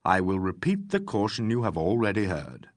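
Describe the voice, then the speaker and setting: A man reading an audiobook voices a calm, weary police inspector who questions a suspect firmly and dryly. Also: fairly low pitch, dry recording. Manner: measured, dry, firm.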